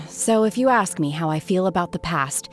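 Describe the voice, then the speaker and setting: An actress playing an aloof, dispassionate young woman in a video game, with a reserved, solemn and measured manner. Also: fairly low pitch, animated, close to an everyday voice. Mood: detached, solemn. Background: faint game music.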